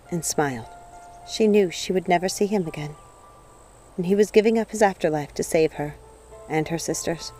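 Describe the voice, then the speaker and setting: A woman reading a storybook aloud as narrator, in an expressive, dramatic way. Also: lowish voice. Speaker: audiobook narrator.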